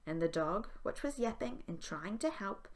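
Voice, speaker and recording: A woman's voice: medium pitch, lively intonation, normal speed. A woman, a primary school teacher, reading a children's story aloud expressively.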